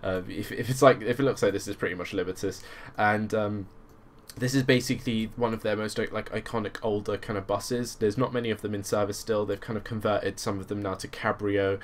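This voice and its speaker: A man with a lowish voice casually narrates his gameplay, wandering through his thoughts and softening nearly everything with loose filler phrases. A faint hum sits under the recording. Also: steady tone, brisk. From Croatian background. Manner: rambling, full of hedges like "kind of". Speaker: gaming video host.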